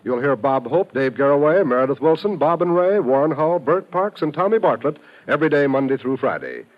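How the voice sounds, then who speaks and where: A man's voice: on the high side, big pitch swings, brisk. A man with an American accent reading scripted promos and credits as a 1950s radio announcer. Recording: dull old radio broadcast recording. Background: faint music.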